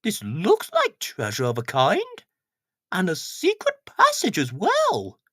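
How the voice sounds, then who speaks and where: A woman voicing an excitable, gushing country village lady in a children's storybook. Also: on the low side, slow pace, no room sound, studio quality, pitched above the performer's own voice, exaggerated voice. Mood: excited, gushing. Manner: gushing, theatrical.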